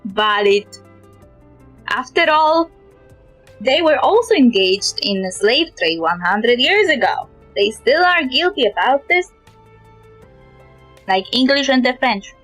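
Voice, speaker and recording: A woman's voice: fairly high pitch, sing-song, slow, pauses often, fairly bright tone, soft background music, low-quality recording. A woman with a Polish accent chatting casually on a live game stream, joking and opinionated. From Poland.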